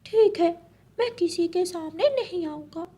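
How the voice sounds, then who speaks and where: A high-voiced magic apple played by a woman from a cartoon tale. It is friendly and polite, speaking gently. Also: a little slow. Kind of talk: Hindi cartoon story dubbing.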